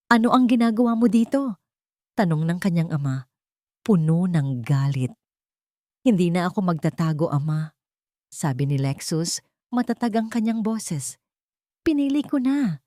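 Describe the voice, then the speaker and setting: A woman's voice: on the low side, darkish tone, no echo, studio quality. A woman reading a scripted melodrama aloud, voicing all the characters' lines herself. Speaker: narrator.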